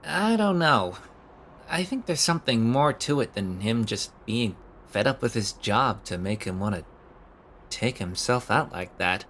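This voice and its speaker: A man's voice: mid-range voice, expressive, unhurried, a bit bright, a little hiss or hum. A man acting in an audio drama as an awkward, overeager young man who stammers and rambles nervously. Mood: casual, speculative. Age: young adult.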